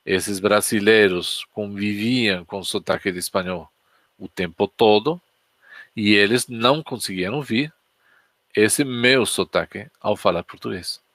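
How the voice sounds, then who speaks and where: A man with a Spanish accent speaks in a fairly low voice, telling a story in an easy, chatty way. His native tongue is Spanish, and he teaches as if chatting with his viewers on a live stream. The sound is close and dry, with no room echo. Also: a little slow, a bit bright. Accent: Spanish from Spain. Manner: chatty, anecdotal, asks the audience questions.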